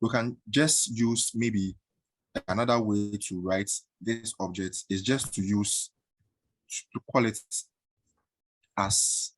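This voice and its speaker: A man teaching a coding tutorial in a halting way, with many fillers and frequent "right?" checks. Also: on the low side, fairly even intonation, dry recording, poor audio. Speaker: coding instructor. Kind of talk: screen-recorded coding tutorial.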